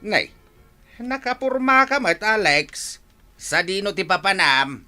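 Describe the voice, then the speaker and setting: A man with an Ilocano accent playing an excitable, scolding elderly father in a radio drama. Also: high voice, slow pace, faint music behind, exaggerated voice. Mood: indignant. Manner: exclaiming, indignant questioning. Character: excitable, scolding elderly father, nosy about his children. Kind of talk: Filipino radio drama acting.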